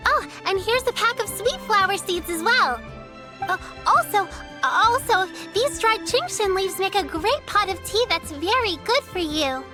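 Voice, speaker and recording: An earnest young apprentice girl in a video game. Her voice is very high and sweet, fussing over an older friend with real care. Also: expressive, unhurried, music in the background. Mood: caring.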